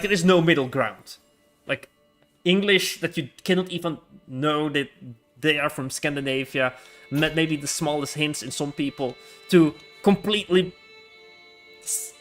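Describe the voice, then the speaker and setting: Bright-voiced chatty male streamer. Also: on the high side. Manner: chatty, rambling, laughs often, restarts words. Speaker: livestreamer. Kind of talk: casual live game commentary.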